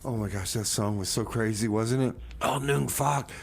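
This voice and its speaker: A man hosting a comedy radio show with excitable, rambling, casual banter, playing a scrappy bunker survivor. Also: lowish voice, normal speed. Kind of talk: improvised comic banter, casual radio chat.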